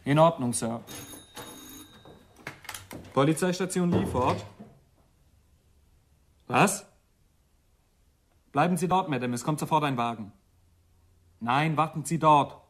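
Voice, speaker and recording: An actor playing a dutiful, clipped, official police desk officer in a radio crime drama. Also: male voice, a little high, takes it slowly, long pauses, a little hiss or hum.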